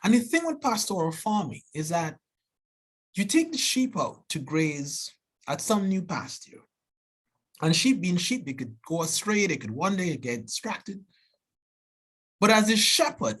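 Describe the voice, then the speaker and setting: A man in his forties from Trinidad and Tobago with a thick Trinidadian accent, giving an earnest, animated seminar talk as a biology professor, often restarting and tagging "right?". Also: high-pitched, breathy edge.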